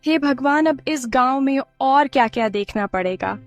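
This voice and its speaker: A woman's voice: high-pitched, expressive, normal speed, dry recording, highs cut off, faint music behind. A woman playing a startled, fearful village woman in a cartoon story. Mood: frightened.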